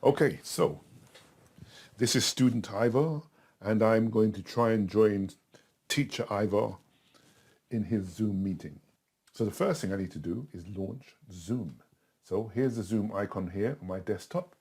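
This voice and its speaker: A middle-aged man giving a casual step-by-step tutorial in a chatty, simple way, with a husky voice. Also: fairly low pitch, measured pace, darkish tone. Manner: simple, chatty, talking himself through each step. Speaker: tutor in a tutorial video, also acting as the student.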